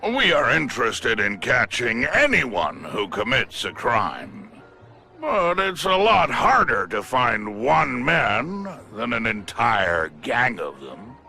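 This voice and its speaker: Middle-aged game tycoon: pompous, contemptuous, piercingly forceful, widely swinging intonation. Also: male voice, medium pitch, huge pitch swings, vocal fry, soft background music, exaggerated voice. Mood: coldly smug. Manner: pompous, formal, deliberate. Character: smug, contemptuous male crime-fighting tycoon with menacing self-importance. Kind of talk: dramatic video game cutscene acting.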